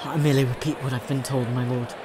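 An actor playing a deferential messenger with urgent news in a fantasy audio drama, formal and hurried. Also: male voice, mid-range voice, little pitch movement, background noise, close to an everyday voice.

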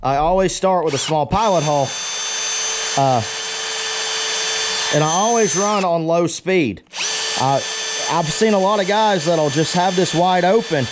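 Male blacksmith demonstrator, fairly high voice, plain-spoken and off-the-cuff, recorded in a noisy workshop with power tools. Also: a little slow, long pauses. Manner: folksy, plain-spoken, addressing viewers as guys. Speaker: blacksmith giving a how-to demonstration. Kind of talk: casual unscripted workshop tutorial talk. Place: cluttered forge workshop.

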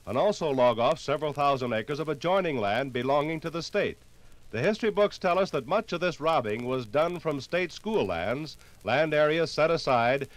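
Male American narrator, vintage 1950s radio history program. Measured, formal scripted read, slightly high register. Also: rough recording.

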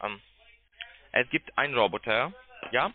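Halting man on a tinny phone line. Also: mid-range voice, animated, a little hiss or hum. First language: not German. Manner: halting, repetitive. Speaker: call center agent. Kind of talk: halting sales pitch by phone.

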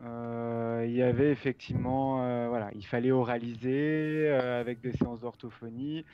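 A man in his forties answering questions over a video call, speaking haltingly with frequent fillers. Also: mid-range voice, level tone, smooth, dry recording, muffled recording. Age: 40s. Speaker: guest sign language trainer. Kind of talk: casual interview answers.

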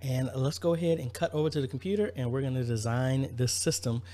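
A man's voice: medium pitch, fairly even intonation, brisk, mellow. A man in his thirties talking informally and practically, thinking aloud as he explains an installation in a casual vlog style. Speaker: church audio-video installer and vlogger.

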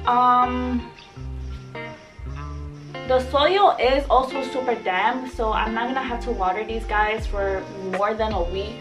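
A woman in her twenties chatting casually as a vlogger, informal and slangy with a self-deprecating streak, recorded on a camera in a bedroom. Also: on the high side. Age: 20s. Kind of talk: casual chatty vlog narration. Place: small apartment bedroom, indoors. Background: background music.

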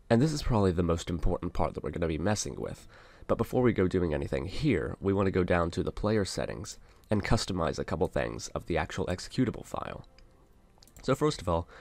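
A man narrating a casual software tutorial, explaining things step by step as an instructor. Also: deep voice, gravelly, no echo.

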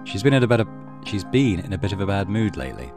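A man's voice: on the low side, very animated, fast, music in the background. A man hosting a let's play, reading story narration aloud with casual commentary.

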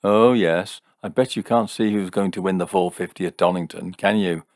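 Guy reading as an angry sarcastic bloke in a story, loud and demanding, low voice, no room sound. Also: measured pace, creaky, no room sound, clean studio sound. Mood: sneering. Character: excitable, indignant, sneering man, a threatening sceptic.

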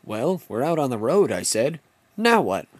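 Young man, comic home-movie narrator, swooping lively pitch, dry close voice-over. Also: moderate pace, no room sound. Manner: playful, mock-dramatic storybook delivery. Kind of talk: comic first-person narration of a home-made stop-motion film.

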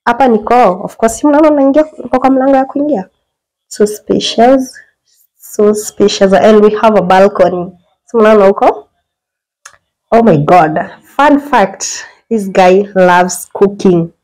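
A young woman with a Kenyan accent talks her viewers through a small apartment, filming on her phone as she walks. Her delivery is chatty and full of quick exclamations, with the sound of an ordinary indoor room around her. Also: mid-range voice, low-quality recording. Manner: chatty, mixes English and Swahili slang, lively exclamations. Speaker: vlogger giving a house tour. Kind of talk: casual house tour chat. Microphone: phone camera held while walking.

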